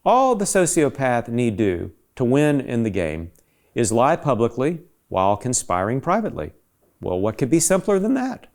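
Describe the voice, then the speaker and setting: A man's voice: medium pitch, very animated, moderate pace, darkish tone. A man reads a scripted essay aloud in an emphatic, rhetorical manner, as if pressing an argument. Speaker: reader of an essay.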